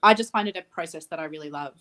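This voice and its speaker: A woman's voice: sits low, fast. A young woman from Australia, a film director talking about her work as an interview guest, reflective and laughing easily. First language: Ukrainian. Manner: warm, reflective, laughs easily, restarts phrases.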